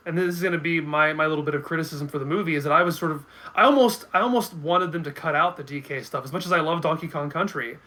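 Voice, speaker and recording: A man in his thirties with a bright, forward voice pitched a little high. He speaks as a guest in a casual podcast chat, hesitating and repeating words as he thinks aloud. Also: fast, hardly any pauses. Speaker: guest commentator.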